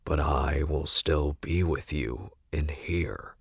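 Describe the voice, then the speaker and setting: A man's voice: a bass voice, slow pace, gravelly, husky, dry recording, lo-fi sound, exaggerated voice. A man playing a sorrowful dying father speaking tenderly in an audiobook.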